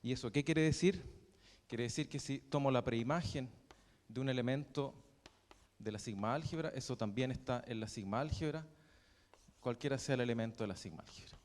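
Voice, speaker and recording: A young man with a Chilean Spanish accent teaches a math class at a middling pitch, pausing often on fillers and restarting his sentences. The recording carries the long reverb of a lecture hall. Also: a little mellow. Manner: halting, with many fillers and restarts.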